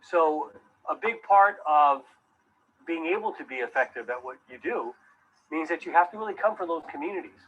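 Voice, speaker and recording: A man's voice: a little high, lively intonation, normal speed, a bit bright, husky. A man who works as a public defender, speaking earnestly and steadily in a recorded testimonial, on dull lo-fi audio.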